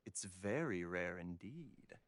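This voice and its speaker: Courteous scholarly pharmacist, lilting delivery. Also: male voice, sits low, lively intonation. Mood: knowledgeable. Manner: polite, formal, measured. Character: soft-mannered scholarly male pharmacist, courteous and composed. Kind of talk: video game voice acting.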